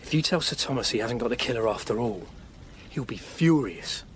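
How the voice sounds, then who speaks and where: An actor playing a blunt, earthy assistant to an investigator in a Tudor radio drama. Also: male voice, medium pitch, expressive, a little fast, a little hiss or hum, lightly acted. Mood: sceptical. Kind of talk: period radio drama acting.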